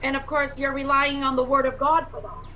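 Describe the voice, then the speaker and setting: A woman with a high, bright voice talks earnestly on a video call, weighing her words with fillers as she thinks aloud. The call audio is dull and lo-fi. A faint hiss runs underneath. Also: little pitch movement, brisk. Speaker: pastor and prospective student. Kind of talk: casual orientation call.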